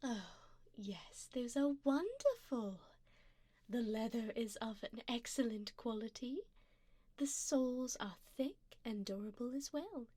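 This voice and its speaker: A woman playing a wealthy, doting vampire sugar mommy in an ASMR roleplay, speaking softly in a genteel, seductive way, often slipping into a whisper close to the mic. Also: on the high side, big pitch swings, dry recording, exaggerated voice. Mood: indulgent, gracious. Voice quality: soft, breathy, often dropping to a whisper. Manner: slow, genteel, formal, seductive. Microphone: close binaural microphone.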